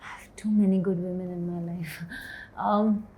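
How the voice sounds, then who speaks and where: A woman in her forties, an actor and writer, speaking candidly in an interview with a low voice, thoughtful pauses and easy laughter. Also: fairly even intonation, dull audio, slight background noise. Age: 40s. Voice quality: low voice, self-described as bass. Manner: thoughtful, hesitant, laughs easily.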